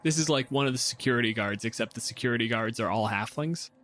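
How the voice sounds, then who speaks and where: A man's voice: medium pitch, quick pace, a bit bright, soft background music. A man working as game master, improvising descriptive, conversational narration for a tabletop game.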